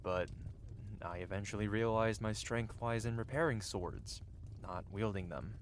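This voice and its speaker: A man's voice: on the low side, measured pace, a little hiss or hum. A man playing a cocky, flirty yet tender-hearted medieval blacksmith in an intimate, theatrical ASMR fantasy roleplay. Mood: caring, earnest. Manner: teasing, theatrical, with soft hesitations. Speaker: audio roleplay performer. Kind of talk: ASMR roleplay acting, intimate one-sided dialogue.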